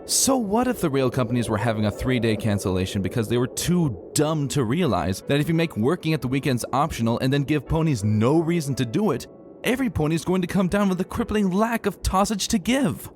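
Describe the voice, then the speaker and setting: A man narrating a dramatic story in an expressive, emphatic and colloquial way. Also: fairly high pitch, fairly quick. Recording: soft music behind narration.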